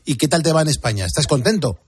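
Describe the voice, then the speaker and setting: A man with a Castilian accent from Spain, hosting live radio in a chatty, encouraging way with a husky voice. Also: fairly high pitch, big pitch swings, quick pace, no echo, studio quality. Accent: Spanish, from Spain. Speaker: radio host.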